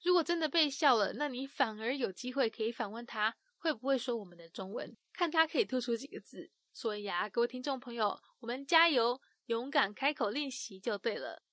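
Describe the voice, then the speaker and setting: A woman's voice: fairly high pitch, animated, brisk, hardly any pauses, a bit bright, dry recording. A woman narrating and explaining an interview clip in a friendly, encouraging way. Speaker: podcast host explaining the clip. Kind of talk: scripted narration.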